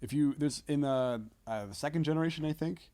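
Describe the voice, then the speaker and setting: A man in casual conversation as a podcast guest. He talks in slangy, halting phrases and meanders through his explanations. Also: fairly high pitch, dark tone. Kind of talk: casual chat.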